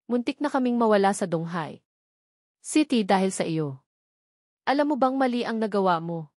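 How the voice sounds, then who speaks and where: A woman's voice: mid-range voice, animated, moderate pace, a little mellow, no room sound, studio quality, natural voice. A woman reading the audiobook part of a stern, angry sect leader, a man scolding his subordinate formally. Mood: angry reproach. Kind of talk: audiobook dialogue.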